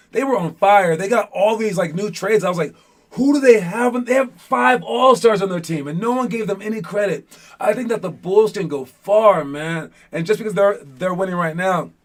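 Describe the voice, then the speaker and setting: A man hosting a basketball show as casual chat with viewers, talking in an informal, rambling way with playful teasing. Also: sits high.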